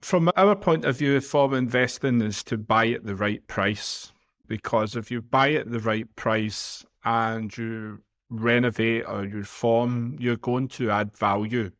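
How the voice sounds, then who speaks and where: A man's voice: medium pitch, a little slow, fairly bright tone. A man from Glasgow, co-hosting a podcast and giving plain-spoken, practical advice. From Glasgow, Scotland. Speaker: podcast co-host. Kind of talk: casual podcast chat.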